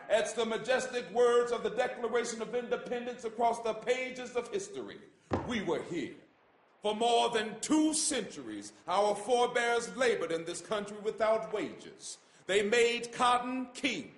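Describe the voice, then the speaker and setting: A man reads aloud in an oratorical style, his voice set unusually high. The delivery is solemn, measured and impassioned, and the room adds a clear echo. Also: a bit bright. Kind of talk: oratorical reading of a famous letter. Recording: echoey room.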